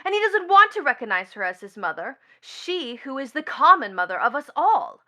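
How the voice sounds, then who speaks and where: An actress playing a brazen, scornful young stepdaughter in a radio stage play, theatrical and mocking, with sudden swells and drops. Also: female voice, high voice, very animated, normal speed, bright, forceful tone, heavily acted. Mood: scornful, furious.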